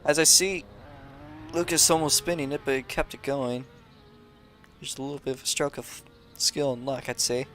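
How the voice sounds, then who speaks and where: A man calmly commentating a sim race, calling driver positions and penalties with frequent fillers. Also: mid-range voice, moderate pace, long pauses, soft background music. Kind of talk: live sim racing commentary.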